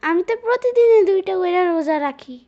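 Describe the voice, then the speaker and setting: Bragging cartoon kid, extremely high voice, slightly muffled lo-fi audio. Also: female voice, lively intonation, brisk. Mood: boastful. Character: boastful child, little girl's playmate. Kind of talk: amateur cartoon voice acting.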